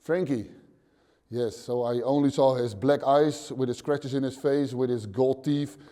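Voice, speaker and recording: A Dutch man in his thirties, a gym owner answering interview questions bluntly in short, halting phrases, seated in a dim gym. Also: mid-range voice, fairly even intonation, dark tone. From Netherlands. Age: 30s.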